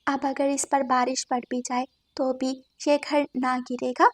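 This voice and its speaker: A woman voicing a patient mother sparrow in a cartoon, speaking softly and reassuringly. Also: high voice, flat delivery, a little slow, dry recording. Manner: soft, reassuring.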